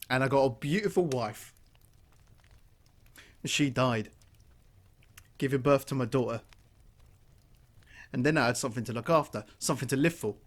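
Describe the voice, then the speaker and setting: An actor playing a grieving, vengeful miner and widowed father, bitter and seething, erupting into shouts in an audio drama. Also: medium pitch, lightly acted. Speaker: mine worker.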